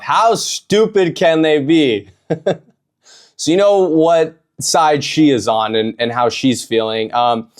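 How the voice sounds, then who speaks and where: A man's voice: fairly high pitch, a little mellow. Male host narrating over protest footage, casual and opinionated, often restarts his sentences. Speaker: video host reacting to own protest footage. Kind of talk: reaction commentary.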